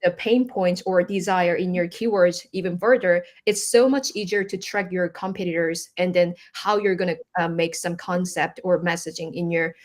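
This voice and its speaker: A woman's voice: fairly low pitch, steady tone, moderate pace, hardly any pauses, a little mellow. A woman from South Korea with a Korean accent, a native Korean speaker, answering as an expert guest in a conversational interview and explaining with examples in searching English.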